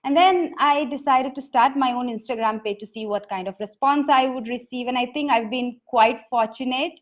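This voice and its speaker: A woman's voice: high-pitched, normal speed. Earnest Indian woman author. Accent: Indian English. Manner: earnest, reflective storytelling. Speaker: poet and author guest. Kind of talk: conversational interview answers. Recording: dull lo-fi audio.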